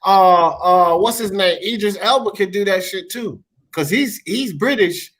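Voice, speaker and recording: A man's voice: sits high, unhurried, fairly bright tone, husky. An American man hosting a casual sports talk show, blunt and slangy, swearing freely and emphatically. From United States.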